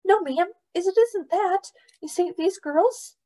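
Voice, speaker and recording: A woman's voice: very high-pitched, takes it slowly, warm tone, voice pushed up. A woman voicing an earnest, flustered young boy in a storybook, breathless and stammering. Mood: pleading.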